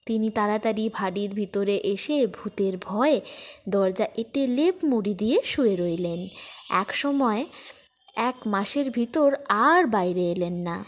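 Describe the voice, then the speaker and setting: A woman narrating a children's folk tale in an expressive, dramatic storytelling manner. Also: medium pitch, unhurried.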